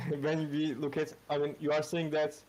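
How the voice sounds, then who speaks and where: A man's voice: a little high, little pitch movement, normal speed, a little mellow, rough recording. A man answering in a stream debate, hesitant and repeating himself as he makes his point.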